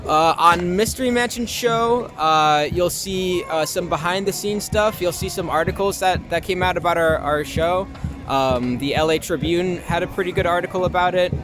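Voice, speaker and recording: A man's voice: high voice, normal speed, music in the background. A young American man answering casually in an interview, easygoing and hesitant as he thinks aloud. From Long Island, New York, USA. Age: young adult. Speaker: interview guest, actor and artist. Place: outdoors in a city, with traffic. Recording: noisy outdoor urban recording.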